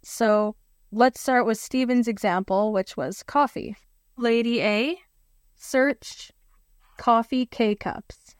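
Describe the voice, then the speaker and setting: A woman with a smooth, resonant, slightly high voice gives a friendly recorded demo. She walks through each step carefully. The sound is dry and close. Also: slow pace, dry recording. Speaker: listener contributor.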